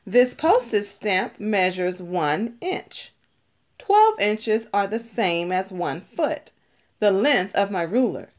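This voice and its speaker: A woman narrates a children's picture book in a mid-pitched voice. Her delivery is unhurried and instructional, with very varied intonation. She places clear emphasis on the important words. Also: sing-song. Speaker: read-aloud narrator.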